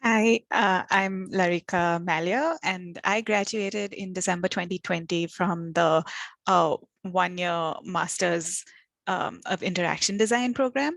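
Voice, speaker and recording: A young woman with an Indian English accent introduces herself in a casual way. Her voice sits slightly low, and the sound is close and dry. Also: a little slow, creaky, fairly bright tone, no room sound. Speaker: alumni panelist.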